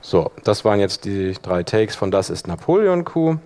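A man teaching a live software workshop, explaining step by step in an informal spoken style. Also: on the low side, very animated, normal speed. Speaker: workshop instructor. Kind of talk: live software demonstration to an audience.

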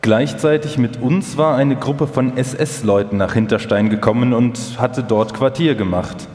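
A man playing a German engineer soberly recounting his wartime memories in a measured, formal way in a documentary radio feature. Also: mid-range voice, darkish tone, natural voice. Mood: grave, reflective. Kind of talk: documentary radio feature, live first-person reading. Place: large hall, live stage performance. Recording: echoey hall with long reverb.

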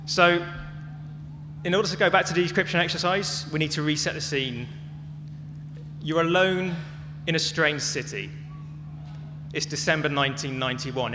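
A man giving a prepared public talk as a speaker, telling stories in a measured, dramatic way. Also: a little high, noisy background. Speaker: conference speaker. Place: large hall, talk to an audience. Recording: echoey hall with music behind.

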